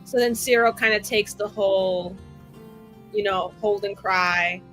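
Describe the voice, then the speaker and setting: A woman's voice: medium pitch, fairly even intonation, unhurried, fairly bright tone, rough recording. A woman playing a tabletop game, casually and expressively narrating what her character does in informal language. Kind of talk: casual improvised roleplay narration. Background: music.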